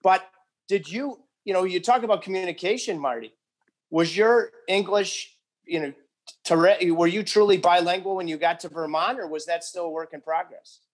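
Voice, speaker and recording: A man in his forties hosts a casual sports interview. He tosses in jokes and asks long, roundabout, friendly questions. His voice sits a little high. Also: normal speed. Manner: jokey, friendly, rambling questions. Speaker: podcast host.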